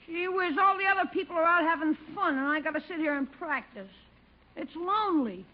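A grumbling schoolboy with a very high voice. He whines and wisecracks in classic radio comedy style. The old recording sounds dull. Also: animated, fairly quick. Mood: pleading. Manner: whiny, sardonic. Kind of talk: 1950 radio comedy acting.

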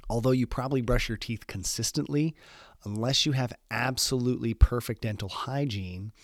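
A man with a cold hosting a solo podcast, his voice a little squeaky and stuffed up, talking in a relaxed, rambling way with many fillers. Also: dry recording, clean studio sound. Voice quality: slightly squeaky voice. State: congested from a cold. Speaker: podcast host.

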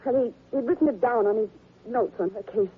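A woman's voice: on the high side, quick pace, breathy edge. A woman playing a distraught, accusing widow in a 1940s radio melodrama. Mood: accusing, tense. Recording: muffled narrow old radio recording.

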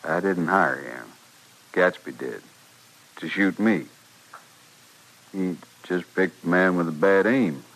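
Low, husky dying frontier storekeeper. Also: male voice, fairly bright tone, heavily acted, poor audio. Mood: weak, confessing. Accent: American, western. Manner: halting, plain, resigned. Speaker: radio actor. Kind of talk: 1950s radio western acting. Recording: vintage radio recording.

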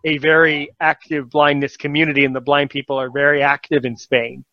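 A man's voice: on the high side, little pitch movement, a little fast, dry recording, highs cut off. A man hosting a casual podcast talk show, encouraging and rambling, often correcting himself mid-sentence.

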